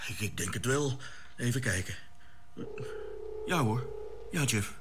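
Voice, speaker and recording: Guy playing naive excitable space crewman in a radio play, mid voice, wondering tone, bit of background noise. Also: medium pitch, unhurried, close to an everyday voice. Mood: amazed, puzzled. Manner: exclaiming, wondering, questioning. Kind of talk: radio drama acting, science fiction serial.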